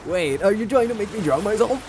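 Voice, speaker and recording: A man's voice: high voice, lively intonation, a little fast, a little mellow, a little hiss or hum, voice pushed up. A man voicing a wary, smitten visual novel protagonist with dry, dramatic delivery. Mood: startled.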